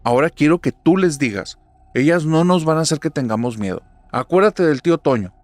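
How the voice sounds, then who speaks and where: A man putting on a bossy big sister, her voice pitched high and pushed loud as she lays down the rules. The delivery is firm and scolding, and the sound is close and dry. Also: lively intonation, brisk, dry recording, close to an everyday voice. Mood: stern scolding. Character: stern older sister scolding haunted dolls.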